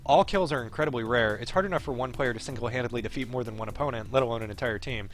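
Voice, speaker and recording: A man's voice: mid-range voice, very fast, soft background music. A man streaming a visual novel, reading it aloud with casual asides and correcting his slips as he goes. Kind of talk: livestream let's-play narration with casual asides.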